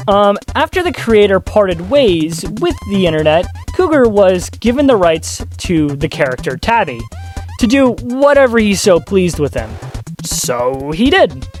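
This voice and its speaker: Casual video essay host, middle register, dry sardonic asides, music underneath. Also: medium pitch. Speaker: host of a video commentary channel. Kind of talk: casual scripted video commentary.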